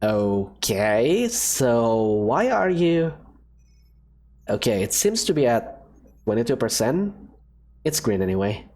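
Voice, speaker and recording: A woman's voice: extremely low pitch, very animated, slow pace, long pauses, mellow, pitched below the performer's own voice, heavily acted. A woman voicing a nervous young male student assistant who stammers and frets. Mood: unsure. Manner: jittery, stammering, anxious. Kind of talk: fan game voice acting.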